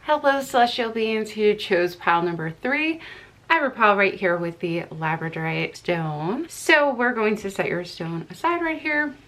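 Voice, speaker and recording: A woman's voice: medium pitch, sing-song, moderate pace, fairly bright tone, poor audio. A woman giving a casual tarot card reading to camera, stuffy from allergies, who meanders and searches for words as she talks.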